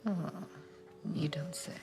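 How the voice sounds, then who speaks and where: An actress playing a tender, teasing wife in a naturalistic film drama. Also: female voice, takes it slowly, lightly acted.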